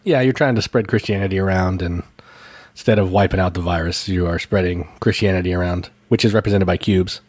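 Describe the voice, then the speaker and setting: A man's voice: low voice, a little fast, a little mellow, no echo. A man co-hosting a casual podcast, answering in dry, matter-of-fact, brief replies. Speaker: podcast co-host.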